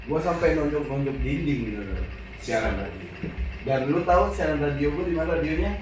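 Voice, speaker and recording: Minang-accented man telling animated stories. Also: a little high, fairly quick, background noise. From West Sumatra, Indonesia (Padang). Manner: animated storytelling in slangy speech. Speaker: guest, actor and radio host. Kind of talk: casual chat. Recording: echoey room, far from the mic, with music.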